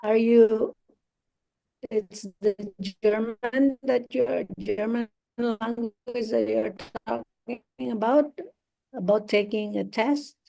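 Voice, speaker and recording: A woman tutoring English over a video call, talking slowly and encouragingly with many fillers. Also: a little high, fairly even intonation, creaky, dark tone, rough recording. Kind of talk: encouraging online speaking practice.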